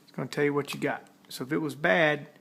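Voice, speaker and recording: A man's voice: fairly high pitch, fairly even intonation, fast talker, creaky, fairly bright tone. A man giving a casual hands-on tutorial, talking plainly step by step as he works at a repair task. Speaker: electronics hobbyist demonstrating a repair.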